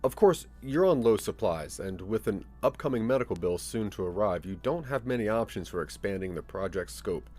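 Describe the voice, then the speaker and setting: A man's voice: lowish voice, expressive, fairly quick, hardly any pauses, darkish tone, faint music behind. A man narrating a scripted second-person story for an interactive audio drama.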